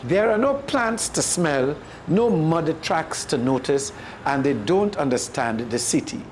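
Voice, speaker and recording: This is a Caribbean storyteller reading a folk tale aloud. The delivery is expressive, with a pitch that moves up and down in a lively way. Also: measured pace, a little hiss or hum.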